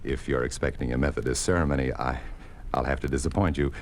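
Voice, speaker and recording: Very deep-voiced fatherly old clergyman. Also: fairly even intonation. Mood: warm, solemn. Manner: measured, fatherly, gentle. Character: kindly older clergyman posing as a village carpenter. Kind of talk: 1950s radio drama acting. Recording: noisy old 1950s radio recording.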